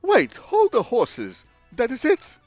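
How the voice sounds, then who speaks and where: A man's voice: very high-pitched, huge pitch swings, takes it slowly, no echo, faint music behind, voice pushed up, heavily acted. A man voicing a flamboyant, vain rich male trader, reading his lines in a theatrical put-on accent. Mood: dramatic. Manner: theatrical, exclamatory, mock Italian-style accent put on. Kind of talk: reading game dialogue aloud in a put-on voice.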